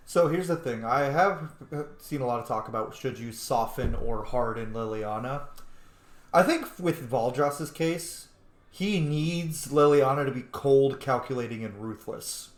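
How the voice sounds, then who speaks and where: Male let's-play commentator, mid-pitched, informal and profane, musing aloud, echoey room. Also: lively intonation, unhurried. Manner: informal, sweary, thinking aloud. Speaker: video game player and commentator.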